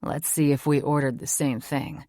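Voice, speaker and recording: Woman doing a teasing young boyfriend, low voice, dry close sound. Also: steady tone, normal speed, mellow, dry recording. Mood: playful. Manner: teasing, earnest, swears casually. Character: young man, playful devoted boyfriend. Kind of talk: audiobook character voice.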